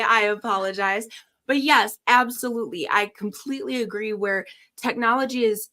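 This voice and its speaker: A woman's voice: mid-range voice, pushed and bright. A woman hosting a podcast interview, chatting casually in an agreeable, affirming manner. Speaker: podcast host.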